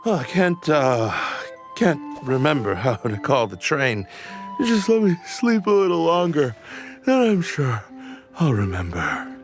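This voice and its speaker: A man's voice: fairly high pitch, sing-song, measured pace, over music. An actor playing a lazy, drowsy young station master in an anime-style game, hesitant and dragging his words. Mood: sleepy.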